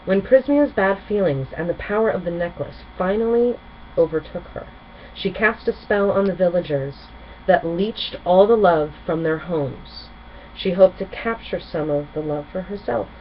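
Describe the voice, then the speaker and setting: Lower-pitched woman reading a children's story. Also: moderate pace. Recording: muffled, with clear background noise.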